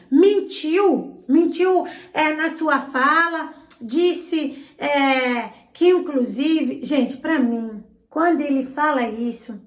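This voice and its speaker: Non-native woman host with a very high voice, indignant and sarcastic, recorded in an echoey room. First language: not Portuguese. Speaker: channel host, political commentator. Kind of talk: opinionated political commentary. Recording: roomy echo.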